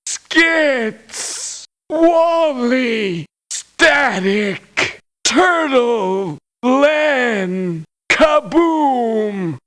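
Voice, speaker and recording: A woman's voice: on the high side, fairly bright tone, heavily acted. A woman voicing short video game clips, delivering isolated words one by one. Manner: clipped, isolated single-word delivery.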